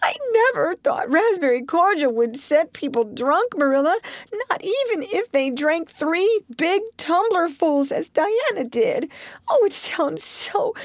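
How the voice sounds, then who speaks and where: A woman's voice: no room sound, clean studio sound, heavily acted. A woman voicing Anne, a dramatic, tearful young girl who wails and sobs as she speaks. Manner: wailing, sobbing, high and breathless.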